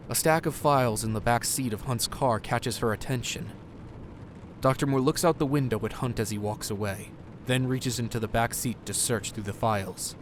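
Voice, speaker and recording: A man's voice: mid-range voice, a little hiss or hum. A man narrating an audio drama in scripted, present-tense prose.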